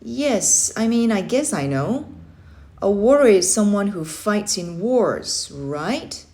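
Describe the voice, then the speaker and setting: A woman's voice: mid-range voice, big pitch swings, takes it slowly, dark tone, pitched above the performer's own voice. A woman voicing an unsure, curious schoolboy in a children's story read-aloud, hesitant and questioning. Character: unsure, curious schoolboy, eager but nervous.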